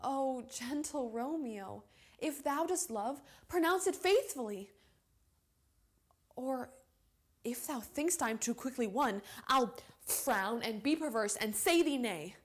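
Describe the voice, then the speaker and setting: Young woman doing a bashful lovestruck noble girl, shakespeare verse, highish voice, slow dramatic pauses. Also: expressive, exaggerated voice. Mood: pleading. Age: young adult. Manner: deliberate, measured verse delivery with dramatic pauses. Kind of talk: classical Shakespearean stage monologue.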